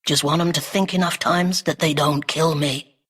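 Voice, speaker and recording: Husky, wary little goblin. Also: female voice, sits low, steady tone, moderate pace, fairly bright tone. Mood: wary, matter-of-fact. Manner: clipped, broken phrasing. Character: small, wary, pragmatic goblin.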